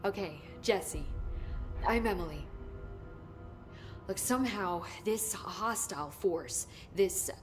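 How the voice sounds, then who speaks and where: An actress playing an earnest, talkative young scientist in a video game, speaking haltingly and naturalistically. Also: female voice, medium pitch, soft background music, natural voice. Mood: urgent. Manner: animated, halting, rambling, breaks off mid-thought. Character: Emily, eager young scientist at a secret bureau, earnest, talkative.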